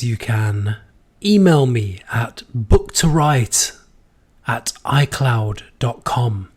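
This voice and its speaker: Homme animateur de podcast enrhumé, voix fatiguée. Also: male voice, medium pitch, breathy edge. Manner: slow deliberate reading, then opinionated ranting. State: sick, losing his voice. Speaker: podcast host. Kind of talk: solo podcast with poetry reading and rants.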